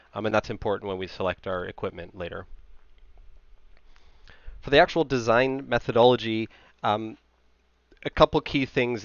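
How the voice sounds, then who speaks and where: A low-voiced American man explains technical material in an informal presenting style. His voice is smooth and clean, picked up close on a headset with very little room sound. He talks through things step by step, checking in as he goes. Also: no room sound. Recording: slightly dull, band-limited headset audio.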